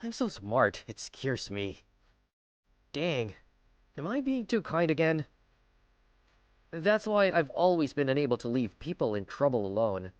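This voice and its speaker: Man voicing a teenage schoolboy narrator, kind and a bit smug, animated pitch, slow pace, manga dub, music behind. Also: no room sound. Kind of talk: anime dub voice acting and narration.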